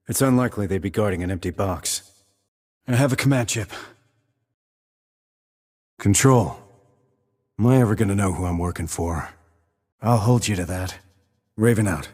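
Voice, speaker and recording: A man voicing a gruff military sniper in a video game, giving clipped, deadpan, controlled lines in a low, husky voice. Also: in a large hall, close to an everyday voice. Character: gruff male military sniper operative, cool and businesslike. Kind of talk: video game voice acting, terse radio reports.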